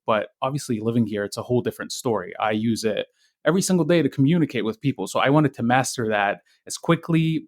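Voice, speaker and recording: A young man from Egypt, a guest on a podcast, speaking in a soft and friendly way with many ums. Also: medium pitch, dry recording, studio quality. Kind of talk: casual interview.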